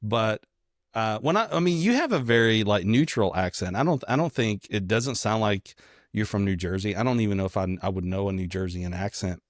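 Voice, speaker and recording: A man's voice: on the low side, lively intonation, fairly quick, dry recording, clean studio sound. A man from Kentucky with a mild Southern American accent, chatting casually as a podcast co-host in a rambling, joking, self-deprecating way. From Kentucky, United States.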